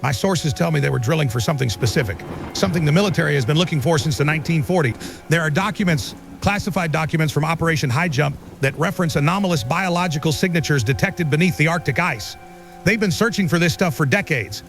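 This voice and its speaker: An earnest conspiracy researcher with a high, gravelly voice, giving an interview in a radio drama. He explains things with urgent conviction while music plays underneath. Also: quick pace. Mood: grave, assured. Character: earnest, insistent male conspiracy researcher guest. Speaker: interview guest. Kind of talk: talk show interview in audio drama.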